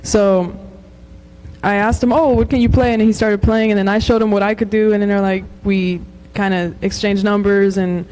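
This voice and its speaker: A woman's voice: medium pitch, steady tone, normal speed, darkish tone, clean tone, low-quality recording. A young woman from Los Angeles, a musician guest, chatting casually with many fillers and frequent laughs. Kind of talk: casual interview chat.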